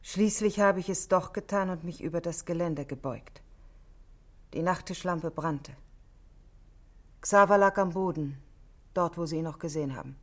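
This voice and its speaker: An actress plays a married woman under police questioning in a 1960s German radio drama. Her voice is low for a woman. She stays controlled and matter-of-fact, setting out each detail with cool precision. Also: normal speed, pauses often, lightly acted. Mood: grave, recounting.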